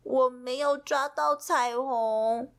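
Woman playing little storybook animal boy, shy, high voice, pleading, childlike. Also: steady tone, slow. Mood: eager, sad. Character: shy little male animal with paws, eager.

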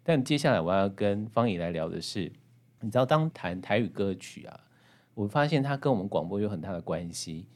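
A man's voice: fairly low pitch, animated, brisk, a little mellow. A man with a Taiwanese Mandarin accent hosting a book interview in a chatty, engaged way, talking to listeners. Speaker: radio and podcast host, interviewer. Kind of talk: conversational book-show hosting.

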